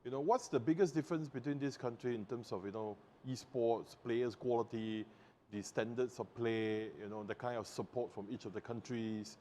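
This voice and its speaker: A man's voice: medium pitch, steady tone, moderate pace, warm tone, slight background noise. Hesitant male interviewer. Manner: hesitant, many fillers. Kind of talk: interview questions.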